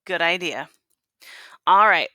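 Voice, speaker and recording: A woman teacher reading a storybook aloud to children and pausing to ask them questions. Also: on the low side, bright, forceful tone, dry recording.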